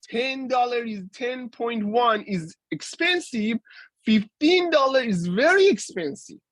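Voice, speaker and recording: A man's voice: very high voice, lively intonation, a bit bright, no echo. A man in his forties giving a university guest lecture, explaining ideas step by step with frequent restarts and fillers. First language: Persian. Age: 40s. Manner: halting, with many restarts and fillers.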